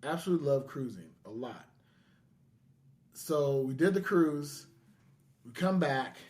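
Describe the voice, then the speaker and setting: An American man hosts a casual podcast conversation. His voice sits at a middle pitch, and he talks informally, as if among friends. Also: lively intonation, measured pace, darkish tone. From California, United States. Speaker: podcast host.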